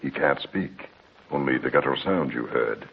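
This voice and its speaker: An actor playing a grave, kindly family doctor in an old-time radio drama, measured and paternal, with a gravelly voice. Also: male voice, extremely low pitch, expressive, lo-fi sound. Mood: solemn, troubled. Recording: thin noisy old radio recording.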